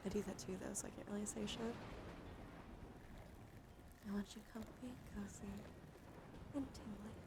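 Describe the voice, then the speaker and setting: A woman with a noticeably creaky, mid-pitched voice murmurs soothing ASMR to her livestream viewers. She moves between whispers and soft speech in an affectionate, intimate way. Clear background noise sits behind her voice. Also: fairly even intonation, moderate pace, pauses often. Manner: affectionate toward listeners. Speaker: live streamer hosting ASMR.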